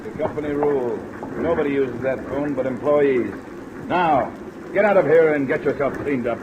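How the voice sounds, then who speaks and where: An actor playing a hostile company boss in old radio drama style, barking and contemptuous. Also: male voice, mid-range voice, moderate pace, lo-fi sound, lightly acted. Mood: curt, scornful. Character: hostile, domineering male company boss and crook. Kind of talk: 1950s American radio drama acting. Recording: old radio recording, heavy rain noise.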